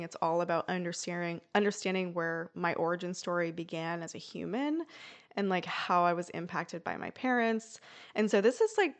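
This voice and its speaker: Unhurried woman sharing a spiritual reflection. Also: lowish voice. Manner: earnest, unhurried, with frequent fillers and pauses. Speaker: podcast host and shamanic practitioner. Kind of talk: reflective solo podcast monologue.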